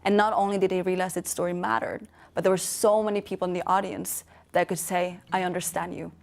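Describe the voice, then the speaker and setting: A young woman from western Norway speaks English with a Norwegian accent, earnest and measured, in a reflective interview. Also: fairly low pitch, little pitch movement, vocal fry, darkish tone. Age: young adult. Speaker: founder of a storytelling organisation, interviewee. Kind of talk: reflective interview monologue to camera. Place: dark indoor studio.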